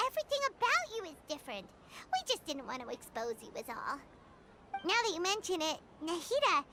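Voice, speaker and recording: Game casting: tiny childish fairy sidekick, extremely high squeaky voice, animated, very noisy backing. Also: female voice, full character voice. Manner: exclamatory, childishly indignant, speaks of herself by name. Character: small excitable floating fairy companion, childlike, talks in third person. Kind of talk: video game voice acting.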